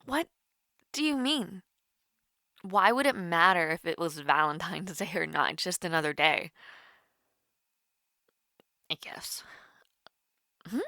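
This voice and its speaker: A woman's voice: on the low side, takes it slowly, pauses often, no echo, studio quality, exaggerated voice. A young woman playing a shy, caring college student with a crush in an intimate audio roleplay, hesitant and easily flustered. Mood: flustered, teasing. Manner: hesitant, flustered, soft-hearted, giggly. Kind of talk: intimate romantic audio roleplay, one-sided call.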